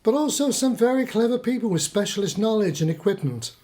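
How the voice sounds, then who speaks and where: Earnest British husband, lively pitch. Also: male voice, a little fast. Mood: earnest, insistent. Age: middle-aged. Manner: earnest, pedantic explaining. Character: earnest middle-aged husband, newly converted conspiracy believer. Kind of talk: radio play acting.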